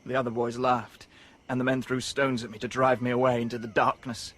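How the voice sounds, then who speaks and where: A man's voice: medium pitch, level tone, brisk, a bit bright, natural voice. An actor playing a lonely, earnest young man raised as an outcast, in a radio drama. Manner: wistful, earnest, wonder-struck. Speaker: the Savage. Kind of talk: BBC radio drama acting.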